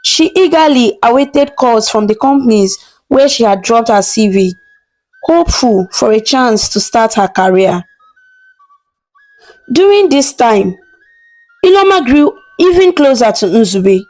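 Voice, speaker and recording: Higher-pitched woman narrating a folktale. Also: animated, measured pace, a little mellow, soft background music, poor audio. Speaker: storyteller.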